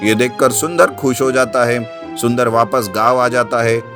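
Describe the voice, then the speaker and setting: A man narrating an animated children's story from a script. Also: medium pitch, normal speed. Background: background music.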